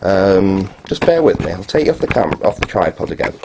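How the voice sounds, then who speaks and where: A man giving a casual, unscripted, matter-of-fact video tour in a halting, rambling way. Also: deep voice, sing-song, background noise. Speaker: vlogger, hobbyist restorer.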